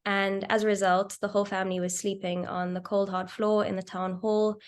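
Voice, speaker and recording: Young South African woman, panelist; steady, earnest, monotone, a few ums. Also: medium pitch, brisk. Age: young adult. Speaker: panelist, medical doctor. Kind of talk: panel discussion.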